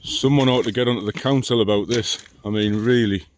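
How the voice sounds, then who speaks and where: A man, talking in a deep, mumbling voice in a casual walking vlog outdoors, rambling with dry self-mocking asides. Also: steady tone, fairly quick, a little hiss or hum. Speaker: long-distance hiker vlogger. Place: outdoors on a hill trail.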